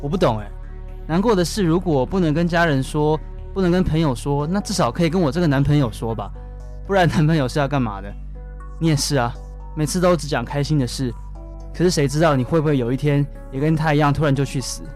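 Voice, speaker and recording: A man plays a bartender ready to close up for good in a modern radio drama. His male voice sits a little high and he carries himself as wounded and resigned. Soft music runs faintly behind him. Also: fast talker, lightly acted. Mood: regretful. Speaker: actor.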